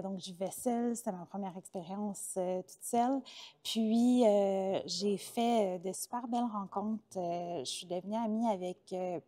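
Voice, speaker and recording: Mellow Québécois woman, hesitant. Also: medium pitch. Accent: Québécois French. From Quebec, Canada. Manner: hesitant, many filler sounds, warm and talkative. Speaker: interview guest, former teacher turned entrepreneur. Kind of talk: casual interview conversation.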